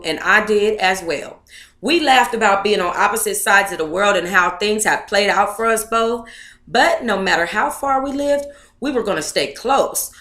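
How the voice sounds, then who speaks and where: In a noticeably echoey room, a woman with a bright voice and a middle pitch reads aloud in a casual, conversational way. She is sassy and blunt, swearing freely as she comments. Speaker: book club host reading aloud and commenting.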